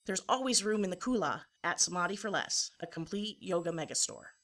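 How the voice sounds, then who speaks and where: A woman's voice: on the low side, expressive, brisk, crowd noise. A woman playing a serene, earnest yoga instructor reading a scripted parody radio advertisement with careful enunciation. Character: serenely earnest female yoga guru pitching a store.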